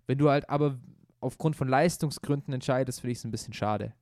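A man's voice: mid-range voice, brisk, a little mellow, no room sound, clean studio sound. A young man co-hosting a casual football podcast, opinionated and rambling, often restarting his sentences. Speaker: podcast co-host.

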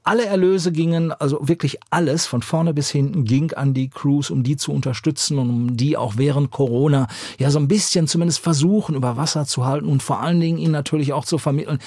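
A man co-hosts a studio podcast with eager, playful energy, often cutting in and teasing his partner. His voice is husky and the sound dry and close. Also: fairly high pitch, steady tone, quick pace, hardly any pauses, a little mellow, no room sound. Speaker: podcast co-host. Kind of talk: casual studio chat.

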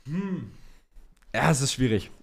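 Man, casual podcast chat, mid-pitched voice, slangy phrasing, English loanwords. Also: animated, a little slow, gravelly, slightly rough. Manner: informal, slangy German mixed with English words. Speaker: podcast co-host reading listener questions. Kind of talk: casual chat about motorsport.

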